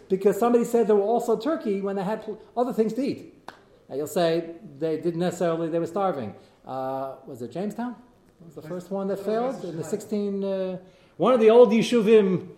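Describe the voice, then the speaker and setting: A man teaching a Torah class in a lively way, reading out Hebrew texts and explaining them to students. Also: high-pitched, reverberant. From United States.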